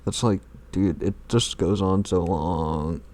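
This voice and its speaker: A man's voice: low-pitched, fairly even intonation, slow, a little mellow, a little hiss or hum. A man hosting a mocking fan fiction read-aloud, with casual, irreverent, profane asides.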